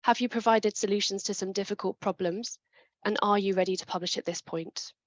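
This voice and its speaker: A woman presenting a webinar talk on writing papers, clear and methodical, with a chest infection coming on. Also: mid-range voice, fairly even intonation, a little fast, dry recording. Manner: clear, methodical, with small restarts.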